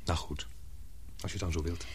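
An actor in a radio play playing a concerned, puzzled but patient lover who speaks hesitantly and soothingly. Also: male voice, low-pitched, steady tone, a little fast, slight background noise, natural voice. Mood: tender, concerned.

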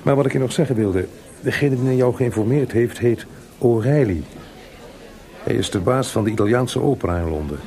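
A man playing Haydn, a calm and kindly senior composer with a measured, reassuring manner, in a Dutch radio play. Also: mid-range voice, close to an everyday voice.

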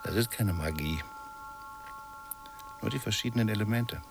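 An actor playing a calm, reserved, quietly teasing portrait painter in a radio play, speaking in a measured, understated way. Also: male voice, fairly low pitch, vocal fry, music in the background. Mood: calm, enigmatic. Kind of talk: German radio play acting.

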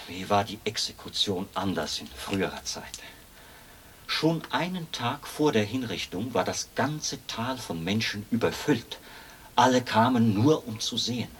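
A man's voice: fairly low pitch, fairly bright tone. An actor playing a zealous, aggrieved execution officer in a German radio drama, fussy and intense in his long-winded complaints. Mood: nostalgic, proud. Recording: noisy 1980s radio drama recording.

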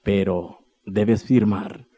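An actor playing a sly, smooth-talking devil who tempts a man in a radio drama, wheedling and theatrical with sinister laughter. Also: on the low side, steady tone, very slow, mellow, exaggerated voice. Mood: gleeful.

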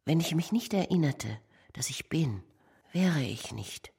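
A woman performing a contemplative narrator in a poetic radio play, with a measured, solemn delivery. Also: low voice, expressive, vocal fry, warm tone, husky, heavily acted. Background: music.

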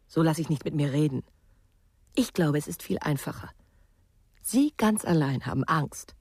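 Defiant, sardonic woman, husky, curt replies, dry close radio-drama sound. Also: low voice, expressive, moderate pace, darkish tone, no room sound. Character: defiant, weary woman fending off threats. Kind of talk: German radio crime drama acting.

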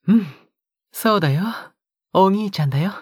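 A male actor voices a soft-spoken young man with a very breathy, husky voice. He coaxes tenderly, with a possessive edge, often slipping into a whisper. The audio drama sound is intimate, close and dry. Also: sits high, normal speed, dry recording. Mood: tender, coaxing.

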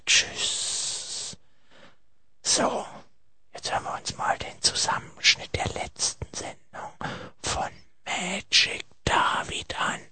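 A man hosting a late-night radio call-in show, speaking in a creaky whisper. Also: slow, sharp tone.

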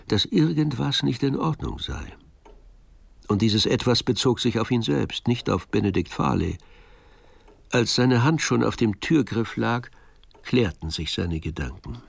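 A man narrating a German radio crime drama in a measured, literary storytelling manner. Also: medium pitch, creaky, no room sound.

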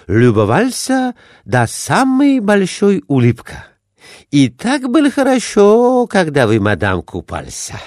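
A man's voice: sits high, huge pitch swings, slow pace, a little mellow, no echo, clean studio sound, voice pushed up, full character voice. A man voicing an evasive, indignant Turkish would-be diplomat with a foreign accent, speaking broken Russian emphatically in a storybook reading. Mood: gushing, flattering.

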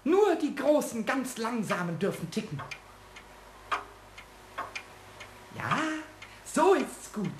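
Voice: mid-range voice, sing-song, a little slow. An actor playing a cheeky, bossy, childlike male kobold, chattering and giggly, in a German children's radio play. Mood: bossy, mischievous. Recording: noisy old radio play with ticking clocks.

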